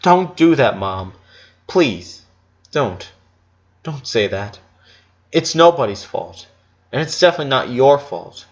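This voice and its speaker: A man voicing a gentle, sick young boy in a story reading, earnest and halting as he pleads. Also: medium pitch, very animated, muffled recording. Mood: pleading.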